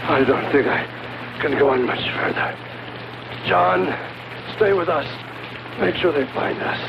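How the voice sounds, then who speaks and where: An actor playing an exhausted, earnest astronaut, halting and strained, in a 1960s science fiction film. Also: male voice, fairly high pitch, gravelly. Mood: weary, straining. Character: stranded male astronaut, exhausted, earnest and desperate. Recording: dull, noisy old film soundtrack.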